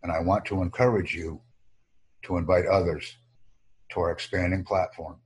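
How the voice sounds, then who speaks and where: Male English tutor, deep husky voice, formal measured delivery. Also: fairly even intonation. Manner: slow, formal, measured delivery in short phrases. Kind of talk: online English lesson.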